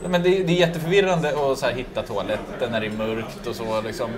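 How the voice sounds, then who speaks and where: A man's voice: mid-range voice, fairly even intonation. A man in his thirties co-hosting a casual podcast in a pub, joking and reading reviews aloud. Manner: jokey, laughing, quick restarts. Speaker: podcast co-host. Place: busy pub. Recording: noisy pub with crowd chatter. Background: crowd chatter.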